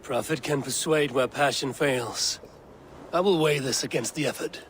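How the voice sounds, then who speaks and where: An actor playing a wry, pragmatic, commanding Viking warrior in a video game, with dry, understated delivery. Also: male voice, mid-range voice, moderate pace, slight background noise, close to an everyday voice. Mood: cool, questioning. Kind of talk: video game character acting.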